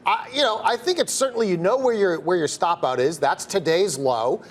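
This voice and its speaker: A man's voice: high-pitched, animated, a little fast, a little hiss or hum. A man with an American accent giving conversational market commentary on a TV trading panel. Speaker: trader panelist on finance show.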